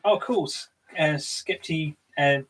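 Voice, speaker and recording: A man's voice: fairly high pitch, unhurried, a bit bright, husky, low-quality recording. A man co-hosting a live tabletop game discussion show, chatting casually and reading out viewer comments with plenty of "um"s. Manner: chatty, reads viewer comments aloud, frequent "um". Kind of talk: casual live-stream chat.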